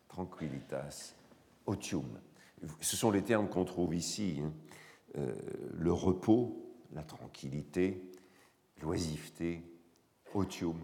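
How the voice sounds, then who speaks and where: A man with a cold lecturing in a hall, his voice hoarse, his speech halting with frequent pauses and fillers. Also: low-pitched, mellow. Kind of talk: academic lecture.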